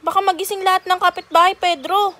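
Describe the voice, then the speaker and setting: A woman's voice: very high-pitched, fairly even intonation, quick pace, sharp tone. A woman playing a nosy, cheeky village busybody in a radio drama, exclaiming at others. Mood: scolding. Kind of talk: student radio drama acting. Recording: clear background noise.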